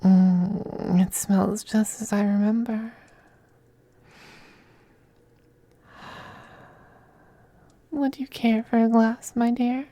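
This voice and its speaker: A silky femboy vampire acted by a man murmurs in a slow, mellow, high and feminine-leaning voice for an ASMR roleplay. He is possessive and teasing, slipping into soft whispers with languid condescension, very close and dry. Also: creaky, dry recording. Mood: wistful, needling.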